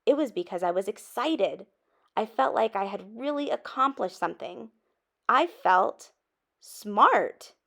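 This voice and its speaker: A woman reading a children's story aloud expressively, with dramatic emphasis and drawn-out words. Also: medium pitch, expressive, creaky, fairly bright tone. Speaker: storybook reader.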